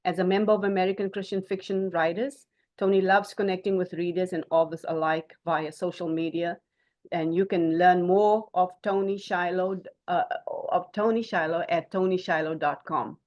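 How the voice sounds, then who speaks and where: A woman hosting a panel in an informal way, often halting as she searches for words. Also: on the low side, steady tone, darkish tone, lo-fi sound. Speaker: panel moderator.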